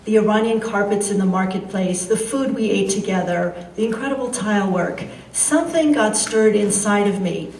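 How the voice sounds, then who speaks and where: A woman author, born in Iran and raised in the San Francisco area, tells students stories from her life in a calm, measured way. She is picked up from a distance in a roomy school library, with a light background hiss. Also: mid-range voice. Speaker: author giving a talk. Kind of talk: public talk to students.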